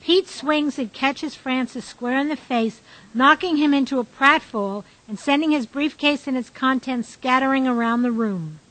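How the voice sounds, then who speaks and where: A woman with a high-pitched voice narrates a radio play. She reads out the stage directions as scripted narration. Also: normal speed, a bit bright, rough recording.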